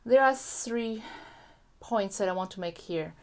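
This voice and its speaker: A middle-aged woman hypnotherapist answering a listener's question in a calm, gentle way, with frequent ums and restarts. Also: medium pitch, unhurried. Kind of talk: casual explanatory talk to camera.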